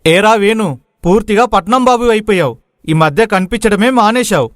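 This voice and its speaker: A man's voice: sits high, moderate pace, a bit bright, dry recording, studio quality. A man playing a chatty, blunt young village friend with a familiar manner in a moral story.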